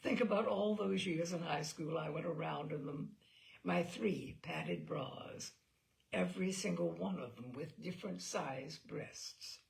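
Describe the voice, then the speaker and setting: A woman giving an expressive, wry dramatic reading of a comic personal essay, with deliberate pauses and emphasis. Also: fairly low pitch, vocal fry, poor audio. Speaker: reader of humorous essays.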